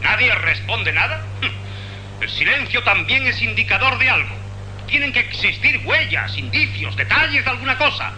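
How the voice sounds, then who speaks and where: An actor playing a sarcastic, impatient police commissioner in a radio play, barking orders through a telephone-like filter. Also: male voice, extremely high pitch, very animated, moderate pace, sharp tone, heavily acted. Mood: sarcastic, scolding. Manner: scolding, rhetorical, commanding. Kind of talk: dramatic radio play acting. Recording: noisy, humming phone-line radio effect.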